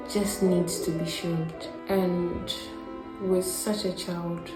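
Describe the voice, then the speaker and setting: Low-pitched Ghanaian woman in a roomy space. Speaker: video essay host. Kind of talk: scripted reflective narration. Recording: roomy echo, music behind. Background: background music.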